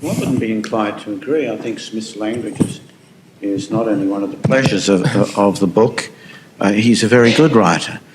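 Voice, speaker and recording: A man's voice: lowish voice, moderate pace, noisy background. A man answering on a panel, assured, driving points home with tag questions.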